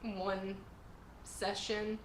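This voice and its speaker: A woman's voice: medium pitch, flat delivery, very slow, slight background noise. Woman host, sore-throated and emotional, reviewing from a roomy space. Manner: earnest and emotional, gushing. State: sore throat, voice sounding strained. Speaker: channel host reviewing manga chapters. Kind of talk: casual video review.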